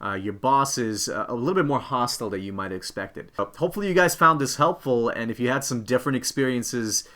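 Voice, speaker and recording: A man's voice: medium pitch, fast talker, hardly any pauses, pushed and bright. A man co-hosting an instructional video, explaining things to viewers as a career coach. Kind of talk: instructional presenting to camera.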